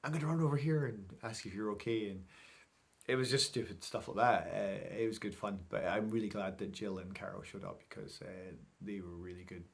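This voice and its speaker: A middle-aged man with a fairly low voice recaps things for his vlog. He talks in a chatty, informal way, wandering from one thought to the next as if speaking to friends. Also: a little fast, creaky. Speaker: vlogger. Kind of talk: casual vlog recap to camera.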